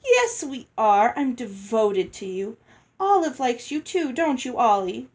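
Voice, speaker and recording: A woman performs a snobbish, greedy elder sister from a storybook. Her voice is high and swoops grandly, condescending and bossy under a coating of false sweetness. She takes her time over her words. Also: big pitch swings, a little slow. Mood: smug, falsely sweet.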